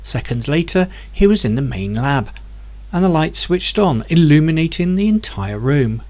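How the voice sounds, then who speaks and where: Brief: author-narrator, man, lively pitch, somewhat high voice, expressive reading. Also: moderate pace. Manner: clear, expressive storytelling. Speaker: author reading his own book. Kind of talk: audiobook narration.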